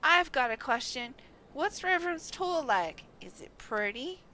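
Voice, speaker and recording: Woman as a squeaky, inquisitive little girl. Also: big pitch swings, measured pace, pushed and bright, a little hiss or hum, full character voice, poor audio. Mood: curious. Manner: childlike, earnest questioning. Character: small inquisitive girl, sweet and insistent.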